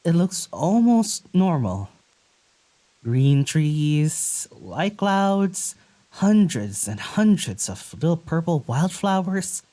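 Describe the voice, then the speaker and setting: Slow, mellow, hesitant young traveler. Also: medium pitch, big pitch swings. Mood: awed, musing. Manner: hesitant, musing, halting. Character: gentle, wary young traveler conversing with an unseen spirit. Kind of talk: naturalistic audio drama monologue.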